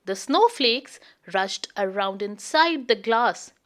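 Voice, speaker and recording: A woman storyteller narrating a children's book aloud in a deliberate, expressive way. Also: mid-range voice.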